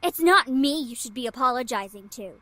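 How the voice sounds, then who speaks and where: A woman voicing a brash, loud-mouthed teenage schoolgirl in a visual novel. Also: sits high, expressive, brisk, no room sound. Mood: confrontational.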